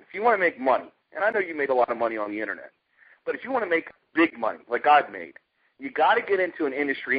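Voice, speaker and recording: A middle-aged American man with a fairly high, husky voice delivers an informal marketing pitch over a muffled conference phone line. He tells it like a story, leaning on key points and throwing out rhetorical questions. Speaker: network marketer pitching a company. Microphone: telephone conference call.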